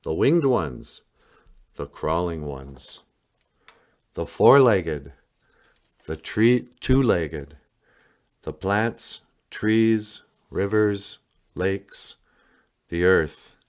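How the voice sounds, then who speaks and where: A man reading a picture book aloud in a solemn, dramatic storytelling style with deliberate pauses. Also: low-pitched, lively intonation, darkish tone. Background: music.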